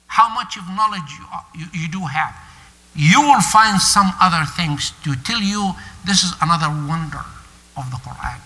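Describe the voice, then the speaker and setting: A man's voice: sits high, sharp tone. Man preaching in a reverberant hall. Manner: emphatic, repeats phrases for stress. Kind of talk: sermon. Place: mosque prayer hall.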